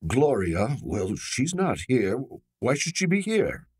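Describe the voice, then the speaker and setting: An actor playing a nervous, evasive man in a radio mystery, husky and halting, easily flustered. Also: medium pitch, sing-song, heavily acted. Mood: evasive, uneasy. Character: nervous, evasive man caught up in a murder case. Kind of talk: old-time radio drama acting.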